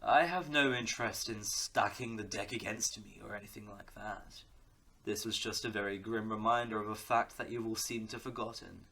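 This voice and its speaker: A man's voice: lowish voice, fairly even intonation, normal speed, gravelly, sharp tone, slightly rough, heavily acted, low-quality recording. A man playing a smug, sadistic crime boss in an audio drama, taunting theatrically and slipping into menacing whispers. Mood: calm menace. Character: smug, sadistic male crime-boss villain who threatens softly. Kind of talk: audio roleplay drama acting.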